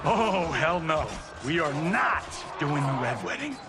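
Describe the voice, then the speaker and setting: A cocky movie-star fighter from a video game, joking in loose slang. He takes his time, swinging widely in pitch on the higher side, with music clearly behind him. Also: big pitch swings, measured pace. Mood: exasperated. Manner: flippant slangy banter. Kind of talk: video game cutscene acting.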